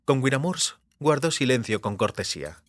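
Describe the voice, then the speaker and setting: A man's voice: mid-range voice, expressive, moderate pace, fairly bright tone, no room sound. A man narrating a fantasy novel as scripted audiobook narration.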